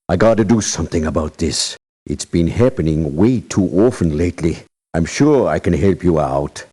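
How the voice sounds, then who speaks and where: A man playing a grave, wary, resentful mercenary in a video game, with a measured and curt delivery. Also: sits low, mellow. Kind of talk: video game character voice acting.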